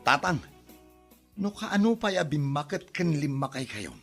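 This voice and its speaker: A man's voice: on the high side, animated, a little slow, a bit bright, faint music behind, exaggerated voice. A man playing an exasperated grown son in an Ilocano comedy drama, chiding and reasoning with his parents in an Ilocano Filipino accent.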